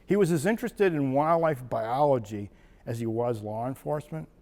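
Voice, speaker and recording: A man's voice: medium pitch, moderate pace, a little mellow. Older man spinning a rambling story. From Maine, USA. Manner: rambling, chuckling storyteller with frequent restarts. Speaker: retired wildlife biologist. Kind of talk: reminiscing personal story.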